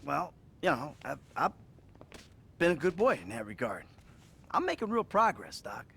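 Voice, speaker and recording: A man's voice: a little high, very animated, moderate pace, vocal fry, a bit bright, husky, exaggerated voice. An actor playing a troubled middle-aged American criminal confessing to his therapist, hesitant, sighing and sardonic, in a naturalistic video game style. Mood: defensive.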